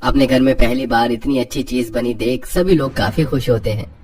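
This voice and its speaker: A man's voice: mid-range voice, normal speed, a little mellow, husky. A man narrating a scripted cartoon story as the storyteller.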